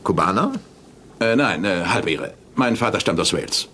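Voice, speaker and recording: An actor dubbing a bumbling, earnest American police lieutenant in a deadpan slapstick film parody. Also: male voice, sits low, a little hiss or hum. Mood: deadpan, obtuse. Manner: deadpan, brisk, earnestly obtuse.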